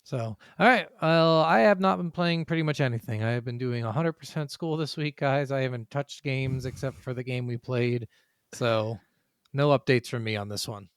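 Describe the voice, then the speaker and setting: A man's voice: medium pitch, normal speed, clean tone, no echo, studio quality. A man hosting a casual gaming podcast, chatting bluntly and swearing freely. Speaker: podcast host.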